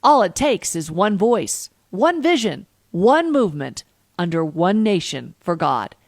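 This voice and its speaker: A woman correspondent narrates a news package. Her pitch rises and falls a lot, and she lands each phrase with measured emphasis. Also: big pitch swings, no room sound.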